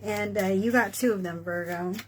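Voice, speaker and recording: A woman reading tarot horoscopes in a casual, encouraging way, her voice worn and hoarse from doing many readings. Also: medium pitch, moderate pace, slight background noise. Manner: chatty, encouraging, many fillers like "you know" and "okay". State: losing her voice. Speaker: tarot reader.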